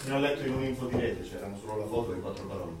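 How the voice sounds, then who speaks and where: A man's voice: fairly low pitch, very fast, close to an everyday voice. An actor playing an anxious, curious middle-aged man in a radio drama, speaking in a guarded, reflective way. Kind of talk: radio drama acting, dialogue and inner monologue. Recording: echoey, distant, music or noise behind.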